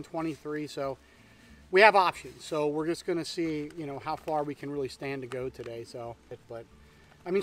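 A middle-aged man chatting casually on a backpacking vlog, talking outdoors in a forest into a close camera, relaxed and thinking aloud. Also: a little high. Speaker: backpacking vlogger. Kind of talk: casual trail vlog narration. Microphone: camera at close range.

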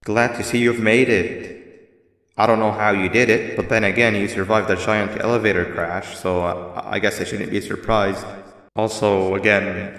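A man voicing a wary male creature who guides the player in a video game, with a calm, measured delivery. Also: low-pitched. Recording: echoey reverberant game audio.

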